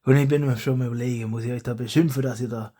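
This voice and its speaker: A man's voice: mid-range voice, fairly even intonation, very fast, dark tone. A man with a German regional dialect, hosting his hobby channel in a rambling, self-correcting casual monologue.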